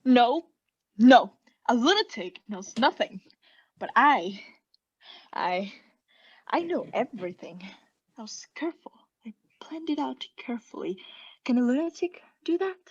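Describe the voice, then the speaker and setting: A woman playing a mad condemned murderess in a radio play, intense and halting, often sinking into breathy hushed tones as she insists she is sane. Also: fairly high pitch, lively intonation, dull audio. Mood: agitated. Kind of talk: theatrical radio play monologue.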